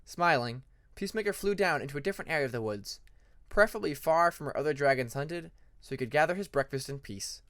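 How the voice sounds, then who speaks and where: A man's voice: a little high, expressive, fast talker, a bit bright, no echo, studio quality. A man narrating scripted fiction as an audiobook reader, with a dramatic storytelling manner.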